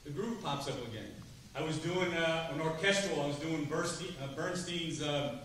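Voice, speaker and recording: A middle-aged man giving a drum clinic to students, chatty and lively, asking the room questions. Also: on the high side, moderate pace. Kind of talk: percussion clinic talk to students. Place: large rehearsal hall, standing before seated students. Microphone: far camera, no mic. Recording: roomy hall from far off, faint noise.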